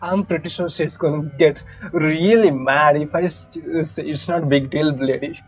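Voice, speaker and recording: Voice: medium pitch, steady tone, normal speed, lo-fi sound. A game streamer reading a visual novel aloud with casual side comments, stumbling now and then over the lines. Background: game music.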